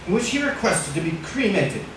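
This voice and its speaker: A scheming husband in an old radio thriller, insistent and bossy. The sound is very echoey and set back from the microphone, with clear noise over the old recording. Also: male voice, high-pitched, big pitch swings, brisk, a bit bright, far from the mic. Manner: insistent, domineering. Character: scheming, bossy husband faking his death for money. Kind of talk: 1940s radio acting.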